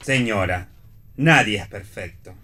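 A man's voice: on the low side, expressive, a little slow, sharp tone, slight background noise. An actor playing a self-assured, provocative man, no longer very young, in radio theatre, with an Argentine accent and a teasing manner. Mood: amused.

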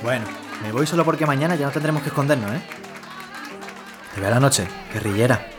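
Man playing a fast-talking flirtatious suitor. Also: medium pitch, natural voice. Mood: flirtatious, eager. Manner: teasing, affectionate. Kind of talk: audio drama acting. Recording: music and street crowd behind.

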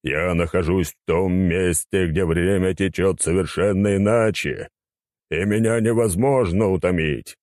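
A man voicing a weary, pompous undead necromancer in an audiobook, with drawn-out, haughty grumbling. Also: low voice, a little mellow, dry recording, clean studio sound.

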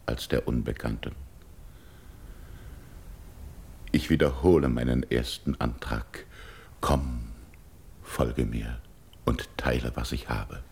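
Slow-spoken, proud barbarian king. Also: extremely low pitch, gravelly, darkish tone, exaggerated voice. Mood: commanding, insistent. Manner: commanding, weighty, deliberate declamation. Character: proud, stern barbarian king, wooing and bitterly offended. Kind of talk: classical verse radio drama acting.

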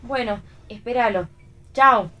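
A woman's voice: fairly high pitch, slow pace, bright, forceful tone. Breezy actress as Argentine female friend. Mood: breezy, teasing. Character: Romy, breezy teasing female friend giving advice. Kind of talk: radio theatre reading, dramatic dialogue.

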